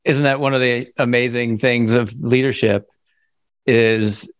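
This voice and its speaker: A man's voice: medium pitch, fairly even intonation, unhurried, smooth, no room sound. A male podcast host who asks questions in a friendly, reflective way. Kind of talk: conversational interviewing.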